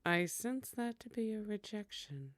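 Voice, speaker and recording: A woman's voice: medium pitch, lively intonation, measured pace, dry recording, studio quality, close to an everyday voice. A woman playing a teasing, courtly vampire hostess in a scripted ASMR roleplay, speaking in a coy, formal way. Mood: flirtatious.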